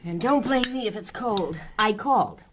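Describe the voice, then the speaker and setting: An actress playing an exasperated, sceptical wife who chides and mocks sarcastically in a naturalistic radio drama. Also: female voice, medium pitch, animated, moderate pace, background noise, lightly acted. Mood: exasperated, sarcastic. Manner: sarcastic, chiding.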